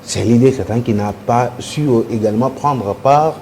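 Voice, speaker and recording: A man's voice: mid-range voice, moderate pace, mellow. A man with a Congolese accent giving critical political commentary as a studio panelist. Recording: faint noise on studio recording.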